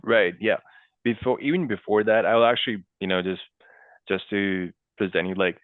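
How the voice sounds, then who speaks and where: Low-voiced man on a tinny line. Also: normal speed, no room sound. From Pakistan. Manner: chatty, leans on "you know" and "right". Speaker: guest accountant. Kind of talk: podcast interview. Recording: tinny dry call audio.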